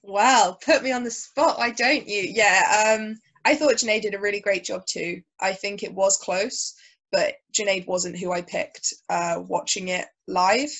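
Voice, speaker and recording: A young woman with a high, cutting voice chats on a podcast as a guest. She is lively and quick to laugh, and she gets hyped up when she talks about her friends' matches. Her pitch sits in a middle range for a woman. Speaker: podcast guest, grappling commentator. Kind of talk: casual chat.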